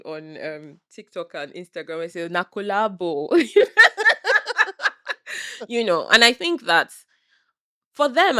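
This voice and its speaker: A middle-aged woman with a Nigerian accent, co-hosting a casual podcast chat, animated and full of "you know"s. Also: on the low side, dry recording, studio quality. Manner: animated, restarts phrases, frequent "you know"; public speaker. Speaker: podcast co-host.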